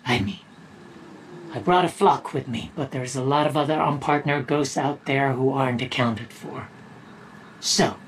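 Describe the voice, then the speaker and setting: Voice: mid-range voice, a little hiss or hum, natural voice. A performer playing a friendly, focused quest-giver in a video game, briefing an ally in an earnest, conversational way.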